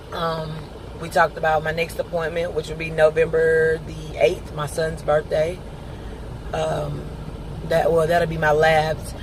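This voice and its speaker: A man giving a casual personal update, rambling and halting with many pauses. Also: on the high side, a little hiss or hum, poor audio. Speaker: vlogger.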